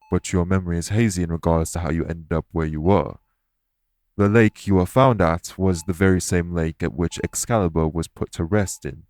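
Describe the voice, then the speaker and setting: Audio roleplay lead: male actor as a solemn, remorseful fae man, deep-pitched, earnest and deliberate, recorded dry. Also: a little mellow, no room sound. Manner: measured, formal, earnest, deliberate phrasing. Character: solemn, guilt-ridden male fae explaining magic to a patient. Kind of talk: audio roleplay acting, addressed to listener.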